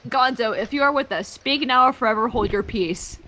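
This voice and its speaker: A woman's voice: a little high, fairly quick, noisy background. A woman guesting on a livestream over a voice call, chatting casually and playfully.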